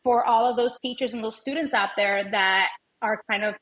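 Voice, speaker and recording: A woman with a bright, forceful voice pitched a little high. She is a native Spanish speaker raised in the United States, speaking as an interview guest. She explains through personal stories and voices short exchanges as she goes. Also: fairly even intonation, moderate pace. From born in Venezuela, raised in the United States. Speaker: podcast guest, language educator and advocate. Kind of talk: conversational interview storytelling.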